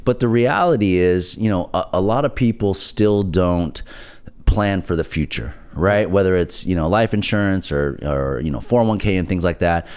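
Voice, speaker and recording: A man's voice: sits low, moderate pace, darkish tone, clear, resonant tone. A man hosting a casual interview, talking his way around to questions and often checking in for agreement. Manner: roundabout, checks in with "right?". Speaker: interview host.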